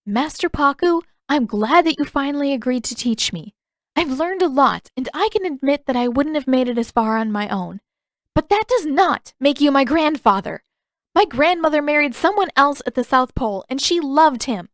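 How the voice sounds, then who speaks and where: Female reader as headstrong teen heroine for fantasy storybook: high-pitched, exasperated, emphatic, quick to argue, dry sound. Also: expressive, no room sound. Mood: firm, emotional. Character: fiery, indignant, defiant teenage girl waterbender. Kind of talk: audiobook-style fan fiction character reading.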